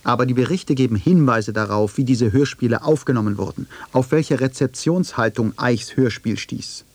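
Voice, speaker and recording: Deliberate male narrator, dry studio sound. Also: medium pitch, darkish tone, dry recording. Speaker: radio feature narrator. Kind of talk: scripted narration and argument.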